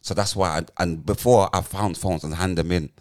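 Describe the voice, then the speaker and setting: A man's voice: low-pitched, steady tone, moderate pace. A man in his forties, co-hosting a podcast and musing earnestly in casual chat. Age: 40s. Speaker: podcast co-host, comedian.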